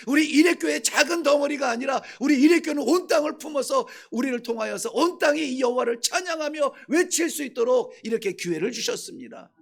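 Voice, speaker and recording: Impassioned Korean pastor with a gravelly voice. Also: male voice, very high-pitched. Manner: impassioned preaching, swings from shouting to hushed. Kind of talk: sermon.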